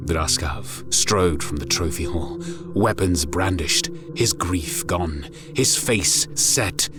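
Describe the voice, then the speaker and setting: A man narrating a dramatic scripted story in a grave, deliberate manner. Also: low voice, over music.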